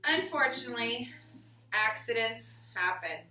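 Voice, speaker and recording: A woman teacher reading a picture book aloud to her class in a deliberate storytelling way and prompting pupils with questions. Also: a little high, animated, pushed and bright. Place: indoor classroom. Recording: roomy classroom, distant mic, faint noise.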